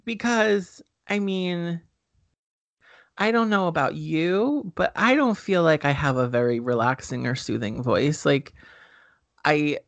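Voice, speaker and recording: A man co-hosting a casual podcast, talking in an expressive, animated way and laughing often. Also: on the high side, slow pace, vocal fry, dry recording. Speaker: podcast co-host. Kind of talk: casual chat. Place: indoors.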